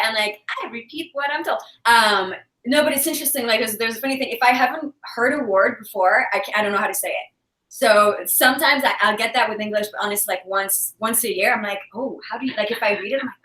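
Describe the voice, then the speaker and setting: Chatty Belgian-born woman, echoey and bright. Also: a little high, quick pace, distant mic. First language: French. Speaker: guest actress and creator. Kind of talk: casual chat. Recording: echoey room, away from mic.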